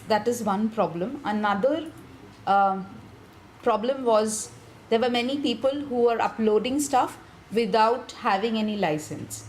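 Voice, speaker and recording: A woman hosting a community meeting discussion, speaking in halting, hesitant phrases. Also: a little high, darkish tone. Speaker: panel discussion host. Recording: noisy conference room ambience.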